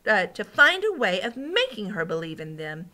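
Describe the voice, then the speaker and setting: A woman voicing an indignant, excitable and determined young girl from a storybook. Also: mid-range voice, moderate pace. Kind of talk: storybook character read aloud.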